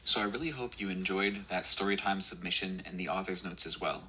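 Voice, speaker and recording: A man's voice: low-pitched, fairly even intonation, brisk, bright, forceful tone, a little hiss or hum, poor audio. A man hosting a radio show in a casual presenting style.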